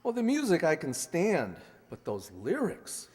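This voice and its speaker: Woman playing a low-voiced, wry radio-drama spouse. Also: wildly swooping pitch, unhurried, darkish tone. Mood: wry, soothing. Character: affectionate, teasing, skeptical spouse of an amateur sleuth. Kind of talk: 1940s radio acting. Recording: echoey hall.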